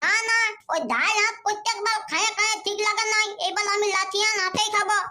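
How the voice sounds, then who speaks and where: A performer voicing a childlike cartoon villager who haggles eagerly, with a squeaky lisping voice and a Purulia Bengali accent. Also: steady tone, fast, pushed and bright, exaggerated voice. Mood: haggling. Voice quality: very high, squeaky, babyish lisped consonants. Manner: pleading, nagging village bargainer. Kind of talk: comedy cartoon dialogue.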